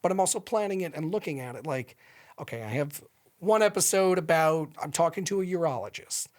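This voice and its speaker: A man's voice: on the high side, lively intonation, a little fast. A man with a nasal voice, a podcast guest talking loosely with lots of fillers. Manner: loose, rambling with many fillers. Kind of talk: casual interview chat.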